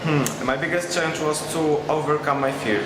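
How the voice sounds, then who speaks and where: A man's voice: fairly high pitch, moderate pace. A man from Poland, a student who speaks earnestly and a little nervously. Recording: echoey room with loud background noise.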